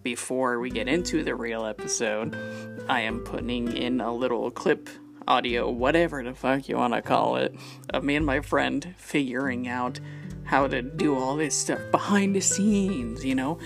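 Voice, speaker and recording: A woman's voice: very deep voice, normal speed, gravelly, over music. A woman hosting a podcast in casual, unscripted banter, giggly and prone to swearing. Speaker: podcast host.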